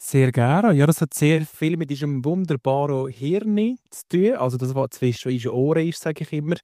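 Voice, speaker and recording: A man's voice: on the high side, normal speed, mellow, dry recording, studio quality. A man from Valais with a Valais Swiss accent, a coach explaining things conversationally as a podcast guest. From Valais, Switzerland.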